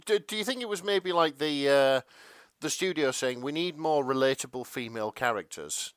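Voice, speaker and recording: A man's voice: a little high, normal speed, fairly bright tone, clean tone, dry recording, clean studio sound. A man co-hosting a podcast in casual comedic chat, sounding bemused and a little hesitant. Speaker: podcast co-host.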